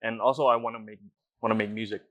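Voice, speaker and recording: A man's voice: fairly low pitch, steady tone, quick pace, lo-fi sound. A young man, an actor, chatting in a laid-back way with chuckles and loose fillers. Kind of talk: casual interview chat.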